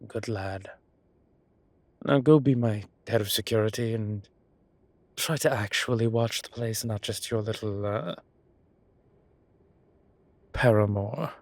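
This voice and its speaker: A man voicing an old, fatherly, whimsical boss, fond and gently insistent, with theatrical old-fashioned phrasing in an audio roleplay drama. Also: lowish voice, slow, long pauses, no room sound. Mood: playful.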